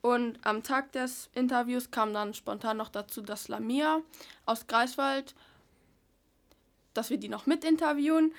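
Teenage girl reading radio script. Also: fairly high pitch. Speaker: student radio presenter. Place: radio studio.

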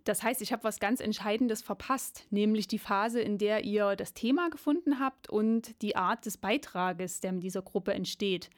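A woman's voice: medium pitch, moderate pace, few pauses. Woman hosting a radio show. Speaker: radio host. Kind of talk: radio show moderation and interview. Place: radio studio.

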